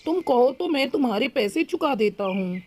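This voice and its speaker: Lady doing a snooty mean rich crow guy for kids cartoon, taunting, lowered voice, bit noisy. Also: lively intonation, normal speed, a little mellow. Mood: mocking. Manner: taunting, boastful, menacing. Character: arrogant, cruel rich male crow who bullies birds. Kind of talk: Hindi children's cartoon dialogue.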